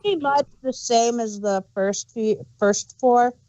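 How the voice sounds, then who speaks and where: Higher-voiced woman, dry slightly muffled audio. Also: a little slow, dark tone, dry recording. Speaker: podcast co-host. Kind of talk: casual opinionated fan chat.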